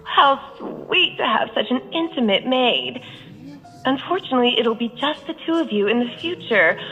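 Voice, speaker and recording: A woman's voice: fairly high pitch, a bit bright, highs cut off. A woman playing a cruel, mocking noblewoman who taunts in a sneering tone, in a game cutscene. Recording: reverberant game audio with music.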